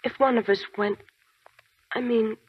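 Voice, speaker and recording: A calm, determined young army nurse, speaking low and steady. Her voice is very breathy and sits a little high. It comes through a dull old 1940s radio broadcast. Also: unhurried. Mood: resolute. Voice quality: very breathy, hushed. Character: resolute young army nurse, quietly brave.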